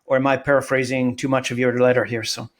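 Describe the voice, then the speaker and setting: A man's voice: mid-range voice, little pitch movement, fast. A man whose first language is Arabic, hosting an interview in an earnest, searching way with frequent restarts. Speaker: podcast host. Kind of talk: conversational interview.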